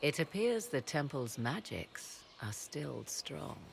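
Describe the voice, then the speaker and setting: Low-voiced haughty sorceress. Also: female voice, big pitch swings, slow, vocal fry, soft background music, full character voice. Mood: studious. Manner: formal, archaic, arch diction. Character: haughty, learned sorceress, cool and imperious. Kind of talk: dramatic fantasy game acting.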